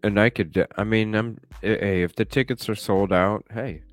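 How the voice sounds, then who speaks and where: A man streaming live, chatting casually and thinking out loud with a stuffy nose, swearing now and then. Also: fairly low pitch, fairly even intonation, moderate pace, faint music behind. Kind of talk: casual chat reacting to a video. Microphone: desk microphone with webcam.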